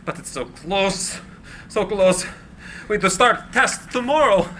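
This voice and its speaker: An actor playing an eccentric, idealistic inventor in a live screenplay reading, theatrical and earnest. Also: male voice, sits high, animated, unhurried, bright, forceful tone, slight background noise, full character voice. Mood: distraught.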